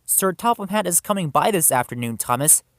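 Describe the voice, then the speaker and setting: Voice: medium pitch, expressive, fairly quick, no echo, clean studio sound, pitched above the performer's own voice, lightly acted. A storyteller voicing a kindly, reassuring older male engine, friendly and encouraging, in a children's story.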